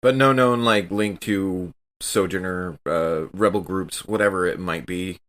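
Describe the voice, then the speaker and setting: A man's voice: deep voice, unhurried, fairly bright tone. A man chatting casually as a player in a tabletop roleplaying game, improvising his moves.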